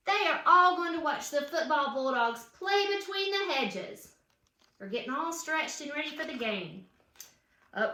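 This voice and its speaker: A woman's voice: sits high, pushed and bright. A woman reads a children's picture book aloud with chatty asides, putting questions and emphatic cheers to young listeners. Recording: roomy, echoing room sound.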